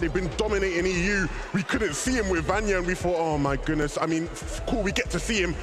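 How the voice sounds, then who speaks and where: High-pitched, enthusiastic male esports commentator. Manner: effusive, enthusiastic. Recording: loud music behind the voice.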